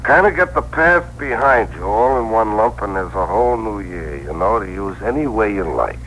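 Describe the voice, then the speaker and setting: A man's voice: fairly low pitch, lively intonation, moderate pace, fairly bright tone. An actor playing an easygoing, cheerful regular guy in a 1960s radio drama, breezy and colloquial. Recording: noisy old radio broadcast.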